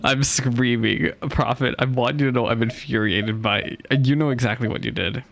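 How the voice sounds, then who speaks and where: A man's voice: medium pitch, fairly even intonation, moderate pace, vocal fry, fairly bright tone. A man streaming a game with casual, playful, slangy and exclamatory live commentary. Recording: game music faintly behind. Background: game music and dialogue.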